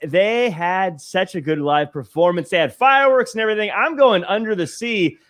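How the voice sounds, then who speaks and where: A man's voice: high voice, normal speed, fairly bright tone. A man on a panel in a casual debate chat, telling stories in a playful way. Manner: playful, storytelling.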